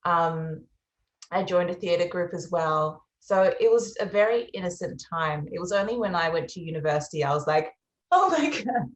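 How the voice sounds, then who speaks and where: A woman's voice: lowish voice, lively intonation, normal speed, reverberant. A young Australian woman, a musician, answering interview questions in a reflective, earnest way. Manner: reflective and earnest, with soft fillers. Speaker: musician guest.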